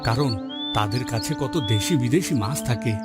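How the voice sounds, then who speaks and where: A man's voice: mid-range voice, moderate pace, close to an everyday voice. Humble fisherman played by a man, music behind. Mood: musing, worried. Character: humble, hardworking poor village fisherman, a husband and father. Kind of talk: Bengali moral-story cartoon dubbing. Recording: loud music bed behind the voice.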